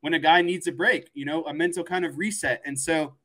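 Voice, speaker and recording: A man's voice: on the high side, fairly even intonation, a little fast, bright, forceful tone. A man co-hosting a sports show, laying out casual analysis point by point in an explanatory way. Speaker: sports podcast co-host.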